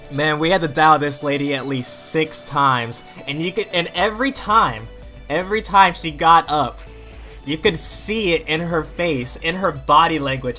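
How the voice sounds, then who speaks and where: Young guy youtuber telling a story over gameplay, bright punchy voice, slangy n animated, music behind. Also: medium pitch. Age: young adult. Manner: informal, slangy, mildly profane, animated. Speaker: gaming YouTuber.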